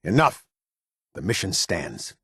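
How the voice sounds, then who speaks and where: An American man playing a stern, formal military officer in a video game, speaking with husky, clipped, measured authority. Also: deep voice, huge pitch swings, pushed and bright, no room sound, studio quality, full character voice. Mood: stern, commanding. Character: stern, formal male military officer of a post-apocalyptic order. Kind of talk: video game character dialogue.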